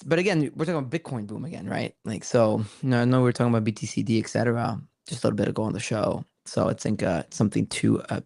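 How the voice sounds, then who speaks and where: A male podcast host riffs through crypto news with loud, hyped energy and plenty of swearing. His voice sits in a middle register and comes through a dry, close microphone with no room around it. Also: medium pitch, gravelly, no echo. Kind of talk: casual crypto show chat.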